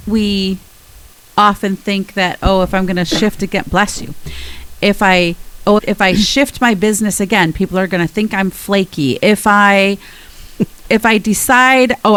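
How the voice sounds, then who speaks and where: A woman's voice: medium pitch, low-quality recording. A woman co-hosting a conversational personal-growth podcast, speaking in a reassuring, emphatic way and drawing out key words. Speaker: podcast co-host.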